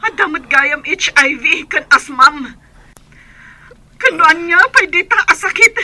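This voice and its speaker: A woman's voice: high voice, animated, a little slow, pushed and bright, exaggerated voice. A woman playing a distraught, accusing former lover in an audio drama, speaking in a plaintive, reproachful manner. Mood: tearful reproach. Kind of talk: dramatized story acting.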